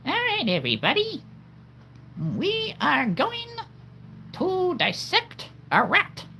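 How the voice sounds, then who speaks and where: A man putting on a squeaky mouse-voiced teacher. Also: wildly swooping pitch, takes it slowly, creaky, bright, forceful tone, slight background noise, full character voice. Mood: mock-cheery. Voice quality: squeaky, high.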